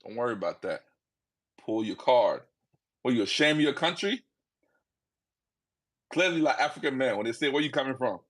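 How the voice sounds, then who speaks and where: A man with a Liberian accent talks casually and directly to his audience in a relaxed monologue. His voice sits at an ordinary middle pitch for a man. He often jumps into quick little dialogues, acting out both sides. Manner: animated, acts out quick dialogues in put-on voices.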